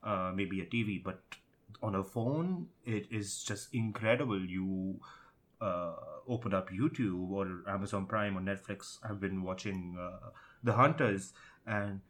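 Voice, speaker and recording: A man with a fairly low voice chats about tech as a podcast co-host. He is casual but emphatic, stating opinions firmly and restating them for stress. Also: a little slow, fairly bright tone.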